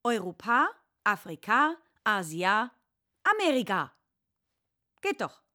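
Radio comedy: young housemaid, off-hand and breezy, very lively pitch. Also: female voice, takes it slowly, exaggerated voice. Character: bright, casual, helpful young housemaid. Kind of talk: comic radio drama acting.

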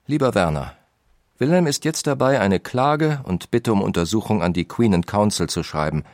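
A male actor plays a 19th-century businessman voicing his own letters for an audio documentary. The voice is very low with a lively, swinging melody. He is frank, deliberate and expressive, recorded close and dry. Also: no room sound, natural voice. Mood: worried, scheming.

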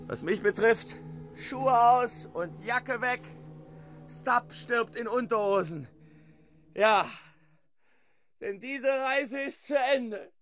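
An actor playing a doomed ship's mate with defiant gallows humour in a theatrical, exclaiming radio drama. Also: male voice, very high voice, slow, long pauses, fairly bright tone, faint music behind, heavily acted.

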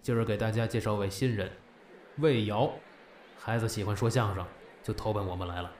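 A man playing a stern but fair crosstalk master, measured and instructive, in an audio drama. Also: fairly low pitch, fairly even intonation, darkish tone, a little hiss or hum, lightly acted. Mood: genial.

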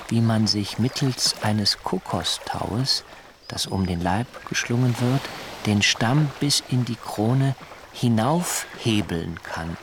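Dreamy young idealist planter, low gravelly voice, earnest radio play monologue, noisy ambience behind. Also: male voice. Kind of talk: introspective first-person radio drama monologue.

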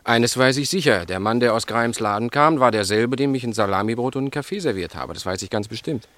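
An actor playing an agitated, decisive milk bar owner who witnessed a crime, in an emphatic, colloquial German radio drama manner. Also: male voice, medium pitch, animated, fast talker, fairly bright tone, dry recording, natural voice. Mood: insistent.

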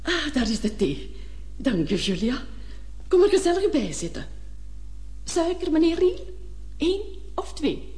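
Gushing old lady hostess, high and mellow. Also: big pitch swings, measured pace, long pauses. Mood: cordial. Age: older. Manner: gushing, genteel, chatty. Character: cordial, chatty old lady, eager hostess mother. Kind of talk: Dutch-language radio play acting.

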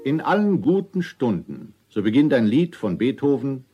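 A man's voice: mid-range voice, sing-song, normal speed, warm tone, soft background music. A man making a formal station announcement in vintage German radio style.

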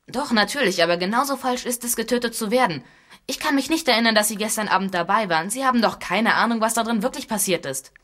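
Woman as quick-talking defiant young boy. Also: on the high side, a bit bright, lightly acted. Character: defiant, frightened twelve-year-old boy on the run. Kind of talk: radio drama acting.

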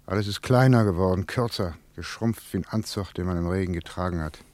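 A man playing a reflective working man in a German radio play, musing in halting phrases. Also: low-pitched, a little mellow, dry recording, natural voice. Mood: wistful musing. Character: Ludwig, a reflective working man returned to his childhood town.

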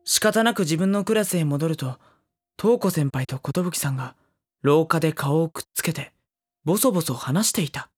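Earnest young high school boy. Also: animated, normal speed, darkish tone, breathy edge. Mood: narrating. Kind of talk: Japanese audio drama acting.

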